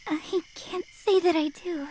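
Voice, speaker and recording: Game role: friendly, timid schoolgirl, extremely high voice, slow, halting, awkward delivery. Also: steady tone, heavy background noise. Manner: hesitant, awkward, stammering. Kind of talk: video game voice acting.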